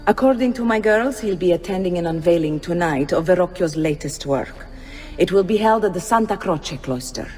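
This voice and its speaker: A woman's voice: lowish voice, expressive, normal speed, darkish tone, natural voice. Woman playing poised, shrewd madam in reverberant hall. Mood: reassuring. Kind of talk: video game dramatic acting. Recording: long reverb.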